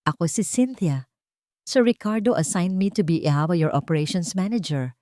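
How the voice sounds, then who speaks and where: Woman voicing a haughty, low-voiced female manager. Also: moderate pace, dark tone, no room sound, clean studio sound, close to an everyday voice. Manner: cold, condescending, doubting.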